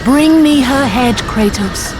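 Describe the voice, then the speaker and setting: A woman playing a solemn, commanding goddess in a video game, speaking in a measured, regal, portentous way. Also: sits high, lively intonation, music in the background. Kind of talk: video game cinematic acting.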